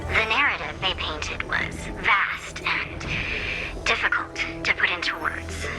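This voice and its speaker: A woman's voice: fairly low pitch, unhurried, over music, natural voice, poor audio. A woman voicing a playful, knowing seer strategist in a video game, with a polite, teasing and theatrical delivery. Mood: explanatory, composed.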